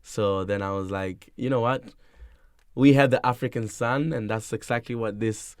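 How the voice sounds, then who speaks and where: A man's voice: on the low side, moderate pace, smooth, no echo, clean studio sound. A young man from Tanzania, a musician being interviewed, chatting casually and playfully, laughing often and rambling loosely. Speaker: musician guest.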